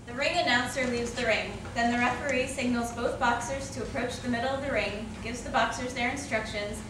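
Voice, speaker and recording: A woman's voice: fairly high pitch, steady tone, fairly quick. A woman reading out stage directions as the narrator of a staged play reading. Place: staged reading in a reverberant room, far camera. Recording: distant echoey room, clear background noise.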